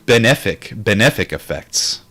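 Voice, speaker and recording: A man's voice: lowish voice, animated, unhurried, bright, forceful tone. Man reading a book aloud, music behind. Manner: informal, stumbles over Sanskrit terms, repeats phrases. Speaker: book reader, streamer. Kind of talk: reading aloud with casual commentary.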